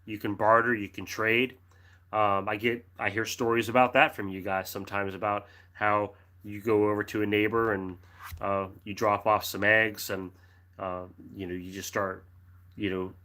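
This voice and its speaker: A middle-aged American man with a lowish voice and a bright, forward tone hosts a casual livestream. He chats in a friendly, rambling way and reads viewer comments aloud. His throat is dry, he has a cough drop in his mouth, and he keeps clearing his throat and restarting his sentences. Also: steady tone, normal speed. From United States. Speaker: livestream host reading chat. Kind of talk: casual live chat.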